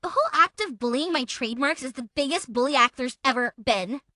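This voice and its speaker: A woman's voice: high-pitched, expressive, brisk, bright, forceful tone, no echo, studio quality. A woman playing a belligerent, self-important woman in a feud, taunting and accusatory, as a theatrical dramatic reading. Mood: indignant. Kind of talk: dramatic reading of a text exchange.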